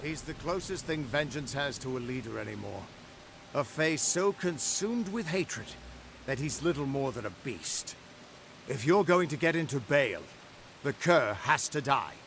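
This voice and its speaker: An actor playing a battle-weary rebel leader in a fantasy game, earnest and commanding, with emphatic delivery. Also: male voice, mid-range voice, expressive, unhurried, creaky, fairly bright tone, slight background noise. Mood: urgent, resolute. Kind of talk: dramatic video game dialogue. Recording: music under the dialogue.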